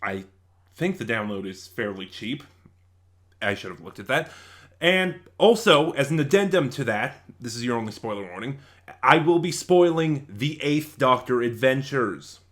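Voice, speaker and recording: A man's voice: medium pitch, lively intonation, moderate pace, a bit bright. A man reviewing in a casual, unscripted way, rambling and often losing his thread and correcting himself. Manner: rambling, scatterbrained, self-correcting.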